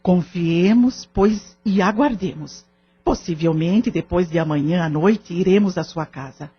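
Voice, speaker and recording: A woman playing a kindly, composed older spiritist lady in an audio drama, with a Brazilian accent and a measured, reassuring, formal manner. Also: lowish voice, breathy edge. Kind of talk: audio drama acting in Portuguese. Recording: dull lo-fi audio drama recording.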